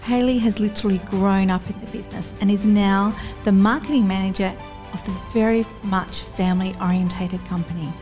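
A woman's voice: mid-range voice, fairly even intonation, a little fast, a little mellow, soft background music. A woman from Australia, hosting a podcast and chatting eagerly, often finishing her guest's sentences. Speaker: podcast host. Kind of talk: casual interview chat.

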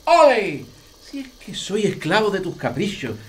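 A woman's voice: mid-range voice, big pitch swings, moderate pace, lightly acted. A woman voicing a jovial, doting middle-aged father with an Andalusian accent, effusive and teasing in an audio family comedy. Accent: Andalusian Spanish.

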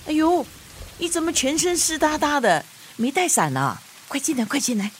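A woman playing a gruff but loving grandmother of nearly seventy in a radio drama, with a scolding, grumbling manner. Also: sits high, big pitch swings, moderate pace, a little hiss or hum. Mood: fussing concern. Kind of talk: Chinese radio drama acting.

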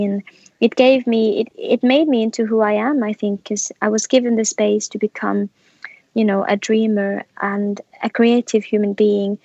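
A woman's voice: mid-range voice, creaky, a little mellow, no echo. A young woman, a musician speaking reflectively in a casual interview, with many small restarts. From Norway. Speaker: musician guest. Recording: muffled, slightly lo-fi sound.

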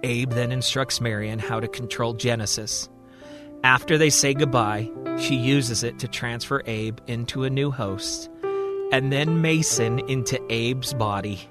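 A man's voice: medium pitch, unhurried. A man narrating a scripted story dramatically as a storyteller. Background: music.

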